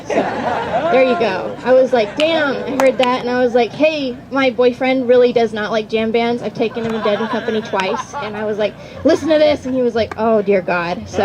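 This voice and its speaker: A woman in her twenties, a student panelist, talking in a chatty, informal, hesitant way outdoors. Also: fairly high pitch. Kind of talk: casual unscripted panel talk. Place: outdoors at a campground. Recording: outdoor recording with clear background noise.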